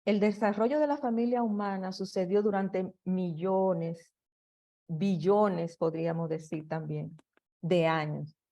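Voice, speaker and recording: Slow, halting woman giving a lecture. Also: lowish voice, steady tone, warm tone. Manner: slow and halting, with restarts and fillers. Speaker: presenter. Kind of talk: slide presentation lecture.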